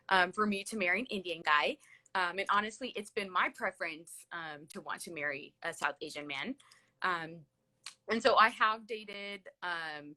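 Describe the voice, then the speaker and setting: A woman's voice: medium pitch, bright, forceful tone. A woman in her late thirties with an American accent, telling personal stories in a chatty, casual way as a livestream guest. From California, USA. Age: late 30s. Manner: chatty, peppered with "like" and "literally".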